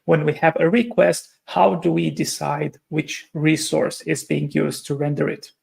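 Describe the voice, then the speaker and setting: A man's voice: fairly high pitch, steady tone, unhurried, vocal fry, darkish tone. A man from Romania, giving a technical conference presentation and explaining step by step with frequent hesitations and self-corrections. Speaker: conference speaker.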